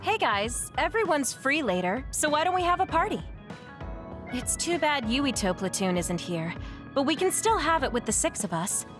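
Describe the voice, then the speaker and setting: A woman's voice: high-pitched, very animated, moderate pace. A woman playing an upbeat, persuasive young military major in an anime game dub. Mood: upbeat, encouraging. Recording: music clearly behind the voice.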